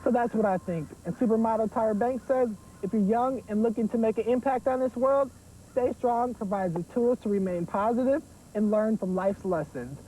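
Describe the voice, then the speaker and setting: A woman's voice: on the high side, moderate pace, mellow, low-quality recording. A woman giving a scripted book review as a presenter on a youth TV show. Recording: faint background noise.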